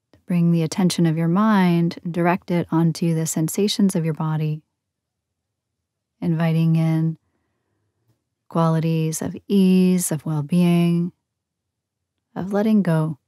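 A woman leading a guided meditation in a soothing, gentle, unhurried manner with long pauses between phrases. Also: fairly low pitch, flat delivery, no room sound, studio quality. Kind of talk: guided yoga nidra meditation.

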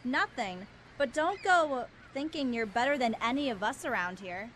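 A woman's voice: fairly high pitch, animated, moderate pace, fairly bright tone. A young woman acting a sarcastic, quarrelsome reality show rival in a student radio play. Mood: dismissive. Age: young adult. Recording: live radio studio, faint noise.

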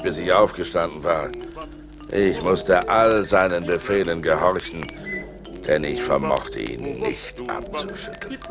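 Gravelly low seafarer telling his adventures, old vinyl radio play, dramatic, music underneath. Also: full character voice. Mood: weary, bitter. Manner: theatrical, swinging from hushed to urgent. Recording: vinyl record transfer with music behind.

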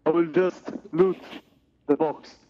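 A man's voice: on the high side, steady tone, slow pace, highs cut off, noisy background. A young man from Sweden talking casually in an online game's voice chat through a gaming headset. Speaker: player in an online game.

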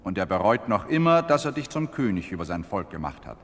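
An actor playing a stern, solemn prophet in a radio play, grave and admonishing. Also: male voice, on the low side, very animated, brisk, in a large hall.

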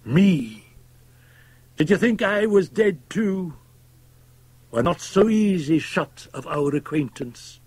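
A man performs a drink-sodden, bitter old man in a gothic audiobook tale. The voice is rough and husky, lifted high over his natural register, with wide swings. He declaims scornfully, fierce and defiant. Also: lively intonation, takes it slowly, long pauses, darkish tone, dry recording, heavily acted. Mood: grim, challenging.

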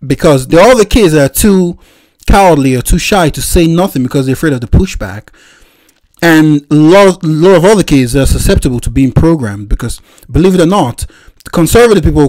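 A man's voice: on the high side, a little mellow. A man hosting a reaction video, mocking what he watches with frequent laughs and exclamations into a desk microphone. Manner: laughs often, exclaims, rants.

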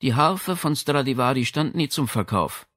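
A man's voice: mid-range voice, expressive, moderate pace, fairly bright tone, no room sound, studio quality, pitched above the performer's own voice. Man voicing a stern, insistent policewoman. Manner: firm, formal, insistent. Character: brisk, stern policewoman questioning a suspect. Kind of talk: audiobook character dialogue.

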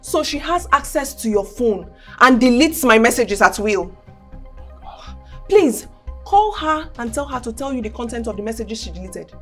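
A scornful Nigerian wife in a screen drama. Her voice sits fairly high and cuts with sarcasm and defiance, over a faint music bed. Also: expressive, moderate pace, a bit bright. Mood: bitter sarcastic. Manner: sarcastic, cutting. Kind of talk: naturalistic film drama acting.

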